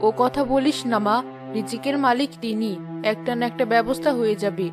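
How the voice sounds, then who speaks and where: A woman's voice: fairly high pitch, brisk, lightly acted. A woman playing an anxious, loving middle-aged village mother in a cartoon, worried and pleading. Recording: music behind the voice.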